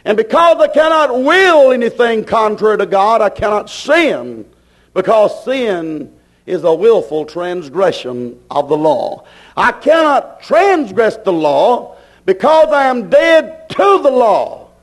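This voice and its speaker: A male preacher delivers a fervent sermon in a very high-pitched voice. His pitch moves widely as he declares each point emphatically. The delivery is slow and deliberate, with phrases repeated for weight. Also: very animated.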